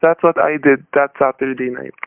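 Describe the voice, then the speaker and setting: An actor playing a plainspoken middle-aged husband who narrates a suspense story in old-time radio style, with a folksy, colloquial manner. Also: male voice, medium pitch, fairly even intonation, normal speed, vocal fry, no room sound, narrow, tinny audio, lightly acted. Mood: grim, reflective. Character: weary, plainspoken middle-aged husband telling his ordeal. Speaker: narrator and lead character. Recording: dry, band-limited old radio recording.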